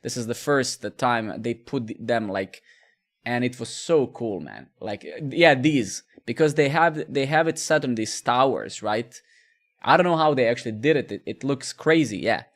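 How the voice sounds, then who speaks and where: A man's voice: mid-range voice, lively intonation, normal speed. A man, chatting casually with viewers during a live coding stream, rambling and repeating words. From Serbia.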